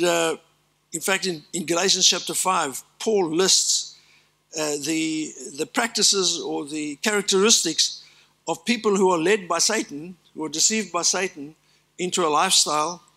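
Older south african pastor preaching on a church stage, bright voice, a bit high, stresses his lists. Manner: earnest preaching with emphatic lists and asides. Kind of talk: sermon.

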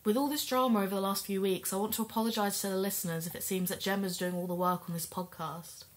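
A woman's voice: mid-range voice, steady tone, fast, natural voice. Sincere, determined female podcast investigator played by an actress. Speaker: podcast co-host. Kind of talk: audio drama acting.

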